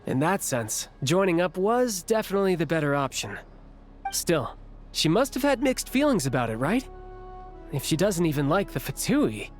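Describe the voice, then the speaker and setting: Young soldier, easygoing and wry, fairly high voice, lively intonation, game dialogue. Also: male voice, normal speed. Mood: wry, thoughtful. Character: easygoing young male soldier, dutiful older brother. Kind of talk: video game dialogue acting. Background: game music.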